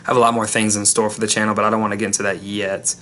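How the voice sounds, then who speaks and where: A man's voice: lowish voice, little pitch movement, rapid-fire, a bit bright, slight background noise. A young man hosting his channel, giving a rambling casual recap full of ums and restarts, his voice still a little worn from losing it. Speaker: YouTube channel host.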